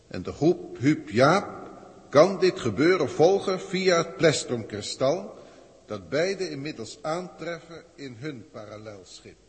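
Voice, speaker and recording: A man playing a haughty, irritable senior alien overseer in a 1960s science-fiction radio play, speaking in pompous, precise officialese. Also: fairly high pitch. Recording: long reverberant hall, old radio recording.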